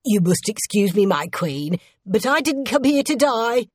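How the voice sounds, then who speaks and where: An anxious storybook sculptor, a man out to save himself, played by a woman narrator. He is polite but firm, with a husky tone and jumpy, wide-ranging pitch. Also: very animated, unhurried, no room sound, studio quality, heavily acted. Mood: alarmed. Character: self-saving male sculptor, anxious and apologetic.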